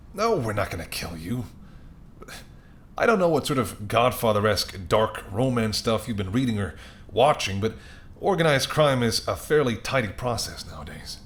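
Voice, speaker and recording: A man playing an apologetic, wry and courteous male mafia boss in an audio roleplay, speaking conversationally and sardonically straight to the listener. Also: on the low side. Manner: conversational, sardonic, exasperated, softening into polite charm.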